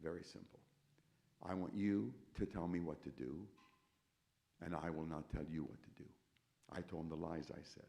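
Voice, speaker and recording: An older man with a low, gravelly, mellow voice preaches his testimony to a congregation. He repeats key words for weight, dropping to near-hushed intensity and then climbing into loud, pointed questions. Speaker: concert pianist giving testimony. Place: church sanctuary.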